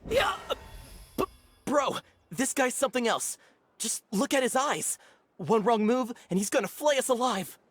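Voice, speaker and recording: An actor voicing a fervent, superstitious, easily cowed desert villager in a video game. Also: male voice, very high-pitched, very animated, measured pace, fairly bright tone, exaggerated voice. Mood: frightened, pleading.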